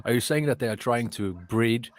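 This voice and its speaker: A man's voice: mid-range voice, moderate pace, no echo, clean studio sound. A man with a Norwegian accent ranting casually on an online panel, emphatic, sweary and prone to repeating his phrases.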